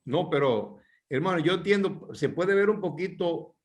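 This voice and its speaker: A man with a somewhat high voice takes part in a panel discussion. His talk is spontaneous and unscripted. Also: expressive, normal speed.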